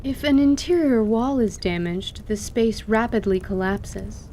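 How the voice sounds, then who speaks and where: A woman's voice: on the high side, a little slow, warm tone, slight background noise, close to an everyday voice. A woman playing a courteous, learned half-elf in an audio drama, speaking formally and articulately. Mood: composed, instructive. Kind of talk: audio drama acting of a tabletop campaign.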